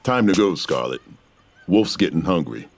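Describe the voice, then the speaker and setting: A man playing a big, gruff, loyal fighter with a terse way of talking at a fantasy table read. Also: deep voice, lively intonation, moderate pace, slight background noise.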